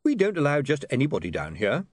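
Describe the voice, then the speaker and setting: A man's voice: medium pitch, wildly swooping pitch, quick pace, husky, dry recording, studio quality, voice pushed up. A man voicing a fussy, overly courteous male beggar in an audiobook. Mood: genteel. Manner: polite, affected, overly courteous. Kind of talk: audiobook character voice.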